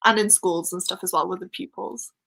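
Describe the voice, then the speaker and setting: Bright-voiced young Welsh woman. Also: lowish voice, a little fast, creaky. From Wales. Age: young adult. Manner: chatty and excitable. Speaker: host. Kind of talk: casual live-stream chat.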